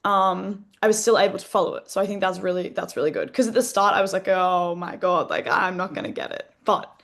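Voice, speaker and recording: A woman's voice: mid-range voice, a little fast, gravelly. A woman with an Australian accent, giving casual feedback in a group conversation.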